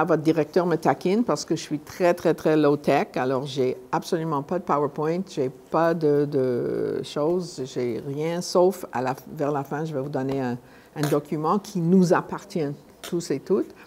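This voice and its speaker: Low, mellow older woman lecturing conversationally. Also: a little hiss or hum. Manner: halting, conversational, often repeats and rephrases words. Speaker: guest speaker at a conference. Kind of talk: informal public lecture.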